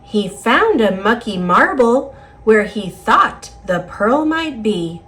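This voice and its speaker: A woman with an American accent reading a children's picture book aloud in an expressive, singsong rhyming delivery. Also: on the high side, slow pace. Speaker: storybook reader.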